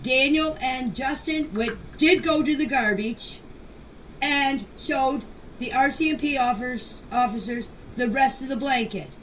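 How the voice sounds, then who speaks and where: A mature woman giving an informal spoken statement in a deliberate, halting way, in short phrases, as a voice message. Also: high-pitched, a bit bright. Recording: distant noisy amateur voice clips.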